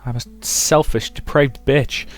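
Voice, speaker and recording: Man playing a guilt-ridden young woman, raised pitch, stammering, emotional, hot-tempered, visual novel drama, faint music. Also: steady tone, a little slow, natural voice. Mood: anguished, firm. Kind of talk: visual novel character reading.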